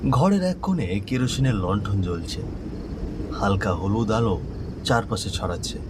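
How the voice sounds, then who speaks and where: A man's voice: on the low side, expressive, normal speed, background noise. A man narrating a scripted horror story. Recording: loud rain and thunder behind voice. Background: rainstorm, thunder.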